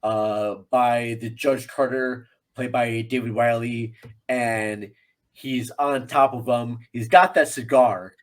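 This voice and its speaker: A man's voice: medium pitch, fairly even intonation, takes it slowly. A man chatting casually about films as a podcast guest, rambling and enthusiastic. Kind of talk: casual film discussion.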